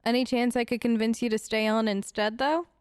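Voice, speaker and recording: A woman's voice: a little high, steady tone, brisk, clean tone, no room sound, studio quality. A woman doing playful, informal role-play commentary as she hosts a video game let's play, chatting in character. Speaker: gaming host.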